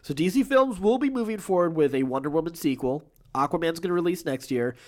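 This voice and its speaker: A man's voice: fairly high pitch, lively intonation, fast talker, darkish tone. A man co-hosting a podcast, chatting casually about entertainment news. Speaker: podcast co-host.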